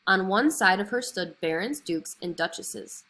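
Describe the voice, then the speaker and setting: A woman reading a children's fairy tale aloud as an expressive storyteller. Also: medium pitch, unhurried. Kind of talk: expressive scripted story narration.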